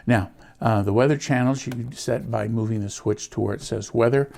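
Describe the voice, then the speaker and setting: An American man giving a casual product walkthrough, explaining things in an unhurried, plain-spoken way. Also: mid-range voice, creaky, mellow. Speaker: host of a gadget review channel.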